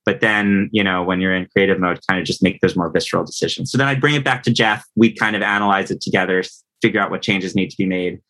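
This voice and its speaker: A man talking casually as a podcast guest, animated and self-deprecating. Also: low-pitched, fast talker, hardly any pauses. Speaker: podcast guest, composer and audio producer. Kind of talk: casual interview conversation.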